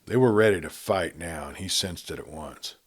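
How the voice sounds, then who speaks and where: A man narrating a Western novel in a measured, quiet reading style. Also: sits low, breathy edge. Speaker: storyteller. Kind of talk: scripted story narration.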